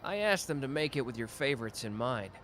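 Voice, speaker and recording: A short-tempered, protective young man with a gruff, clipped way of talking, as a man performs him for an ASMR roleplay. His voice stays at an ordinary middle pitch for a man. He comes across as brusque on the surface and caring underneath. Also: sing-song, a little fast, a bit bright, slight background noise. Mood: shy.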